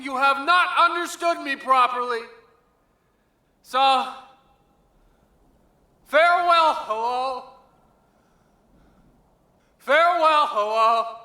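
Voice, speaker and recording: High, ringing, fatalistic prophet orator. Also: male voice, sharp tone, exaggerated voice. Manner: declamatory, slow, exalted. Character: fatalistic time-traveller orator proclaiming his own death. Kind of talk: radio drama public oration. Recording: echoing public-address hall sound.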